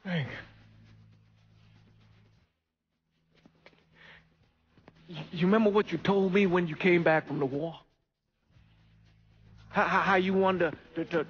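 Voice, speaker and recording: An older priest from the American South in a crime game. His voice is rough and husky, pitched slightly high. He speaks gravely and earnestly, with a halting, morally stern weariness. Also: muffled recording. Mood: pleading. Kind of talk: dramatic video game acting.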